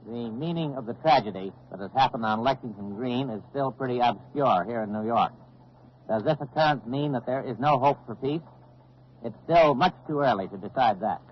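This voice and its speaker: An actor playing a sober military analyst in a 1940s radio drama, giving formal, grave commentary. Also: male voice, mid-range voice, moderate pace, slight background noise, natural voice. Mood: grave, considered. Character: sober male military news analyst, a major. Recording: dull old radio recording.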